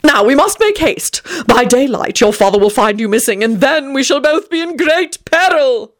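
A woman's voice: high-pitched, expressive, normal speed, pitched above the performer's own voice. Woman voicing a fussy, haughty male werewolf, very swoopy. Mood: offended, dramatic. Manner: haughty, wailing, easily offended, affected endearments.

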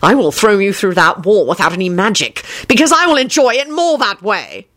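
A woman's voice: mid-range voice, wildly swooping pitch, moderate pace, a bit bright, voice pushed up. A woman voicing an exasperated, furious male boss in a story, ranting with sarcasm and profanity. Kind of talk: dramatic storytelling of fan fiction.

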